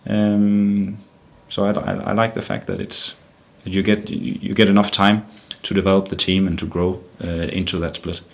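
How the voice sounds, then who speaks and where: A man's voice: low-pitched, flat delivery, moderate pace. A middle-aged man with a Danish accent answers press conference questions as a football manager, often restarting and repeating words as he searches for the phrase. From Denmark.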